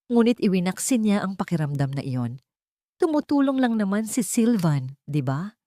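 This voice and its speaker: A woman's voice: mid-range voice, very animated, normal speed, dark tone, no room sound, studio quality. A woman with a Filipino accent narrating a scripted story in a dramatic storytelling style.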